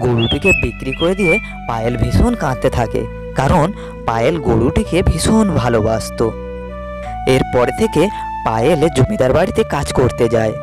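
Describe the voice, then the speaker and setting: A male narrator reads a scripted children's story. His voice sits at a middle pitch. Loud music plays beneath the narration throughout. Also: lively intonation, moderate pace.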